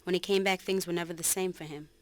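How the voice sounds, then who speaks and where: Lady doing a grieving little sister, slightly low voice, soft sad dramatic reading. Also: fairly even intonation, fast, lightly acted. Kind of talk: dramatic reading of a written commentary.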